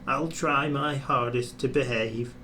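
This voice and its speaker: A man's voice: mid-range voice, fairly even intonation, measured pace, sharp tone, a little hiss or hum. A man voicing a young male steam engine in a children's storybook, an earnest underdog with a puffing delivery. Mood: sorry.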